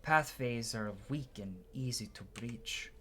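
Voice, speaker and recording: A man's voice: medium pitch, slow pace, sharp tone, slight background noise. A man doing a playthrough, reading game dialogue aloud dramatically and stumbling now and then before restarting lines. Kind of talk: gameplay commentary with dramatic read-aloud dialogue.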